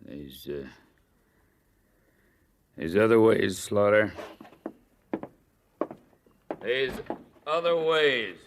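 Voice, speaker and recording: An actor playing a defiant, cornered cattle rustler who blusters in an old-time radio Western. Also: male voice, lowish voice, big pitch swings, heavily acted. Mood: nervous, cornered. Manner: blustering, drawn-out threats.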